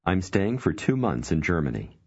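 A man narrating a language course, giving clear, measured cues to repeat. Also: low voice, very animated, a little mellow. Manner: slow, clear prompting cues. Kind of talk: scripted instruction. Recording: dull lo-fi studio recording.

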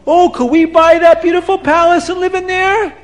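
A man voicing the young children of a poor family in a high, pleading squeak. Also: fairly even intonation, normal speed.

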